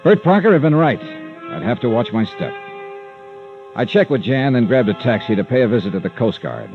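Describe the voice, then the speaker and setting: An actor playing a tough, skeptical insurance investigator in an old radio drama, terse, dry and blunt. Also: male voice, mid-range voice, very animated, moderate pace, soft background music. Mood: wry narration. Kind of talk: 1940s-50s radio detective acting and narration. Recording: dull vintage radio recording.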